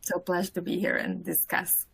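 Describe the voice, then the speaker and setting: A woman's voice: on the low side, sing-song, normal speed, gravelly, breathy edge, rough recording. A woman in her forties from Slovakia with a Slovak accent, introducing herself on a panel with many hesitant fillers. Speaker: panelist, corporate talent director.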